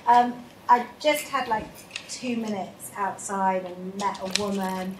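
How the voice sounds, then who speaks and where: A woman in the audience asking a question, chatty and quick to laugh. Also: mid-range voice, steady tone. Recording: distant in an echoey hall with noise.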